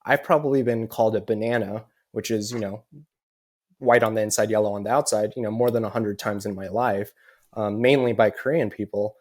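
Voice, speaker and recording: A man's voice: fairly low pitch, fairly even intonation, fairly quick, a little mellow. Earnest American man telling a story. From United States. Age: adult with children. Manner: earnest, many "you know" fillers. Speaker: podcast guest. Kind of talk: personal storytelling.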